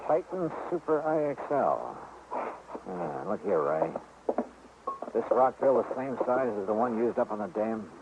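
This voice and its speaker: An actor with an American accent playing a shrewd, probing insurance investigator in a radio detective drama, with a clipped, wry delivery. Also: male voice, lowish voice, sing-song, a little slow. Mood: pressing. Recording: noisy, muffled old radio recording.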